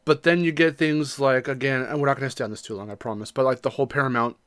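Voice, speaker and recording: A man in a casual podcast discussion, speaking at an average pitch. He makes his points emphatically and with firm opinions. The sound is dry, with no room to it. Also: steady tone, fast talker, a bit bright, smooth, dry recording. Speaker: podcast co-host.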